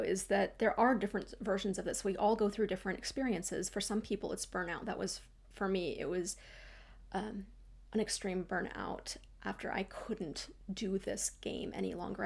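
A woman in her thirties speaks reflectively to her camera in a small room at home. Her mid-range voice carries a slight vocal fry, and she restarts her sentences often. She talks like a coach working through an idea. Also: mid-range voice. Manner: thoughtful, gestures a lot, frequent restarts and soft trailing asides.

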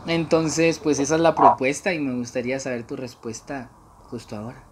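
A man, speaking haltingly and coyly on a casual prank phone call. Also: medium pitch, little pitch movement, heavy background noise. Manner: hesitant, halting, coy. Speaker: prank caller and channel host. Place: small room indoors.

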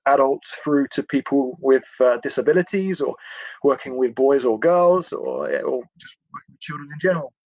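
A man in his forties with an English accent, talking informally on a livestream as a coach in a friendly, chatty, halting way. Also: medium pitch, a little mellow, no echo, rough recording. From England. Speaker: football coach giving a livestream talk. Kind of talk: informal live talk to camera. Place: indoors, small attic room.

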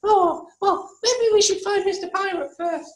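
A woman voicing a small, silly, excitable boy puppet in a children's show, childlike and full of repeated exclamations. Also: extremely high pitch, moderate pace. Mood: excited. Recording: echoey, noisy homemade recording.

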